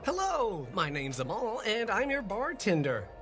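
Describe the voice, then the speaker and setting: Hammy male bartender, game role: higher-pitched, very animated, self-important, music underneath. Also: unhurried, full character voice. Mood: eager, self-important. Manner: theatrical, eager, self-important. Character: self-important male bartender, hopeful bit-part actor, eager. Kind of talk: video game dialogue. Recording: music behind the voice.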